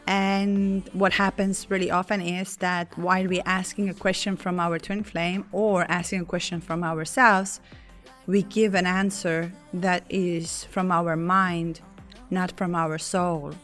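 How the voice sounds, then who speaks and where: A woman hosts her spiritual podcast with a hoarse, scratchy voice that sits fairly low. She talks casually, stumbling into restarts and soft laughs as she apologizes for how she sounds. Quiet music plays faintly behind her. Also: flat delivery, a little slow. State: hoarse, lost voice. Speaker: podcast host.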